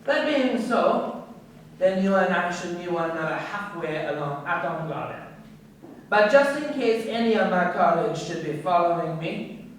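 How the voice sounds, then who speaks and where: High-voiced, wary foreign crook played by a man. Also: a little hiss or hum. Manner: deliberate, formal, threatening. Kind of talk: radio crime drama acting. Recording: very echoey, distant-sounding room recording.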